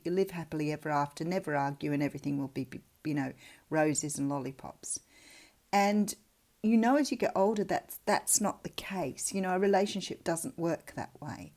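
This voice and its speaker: A woman's voice: low voice, a little mellow. An Australian woman giving a casual tarot reading in a chatty, reflective, conversational way. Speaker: tarot reader, channel host.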